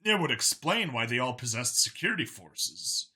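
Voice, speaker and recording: Man narrating fiction, bright and expressive. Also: mid-range voice, moderate pace, husky. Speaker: audiobook narrator. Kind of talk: scripted story narration.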